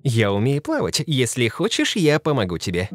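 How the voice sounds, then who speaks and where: A man's voice: mid-range voice, huge pitch swings, normal speed, music in the background. Man voicing a sly, swoopy-voiced cartoon fox. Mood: soothing, coaxing. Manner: coaxing, reassuring. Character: sly male fox, soothing and coaxing. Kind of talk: children's cartoon fairy tale acting.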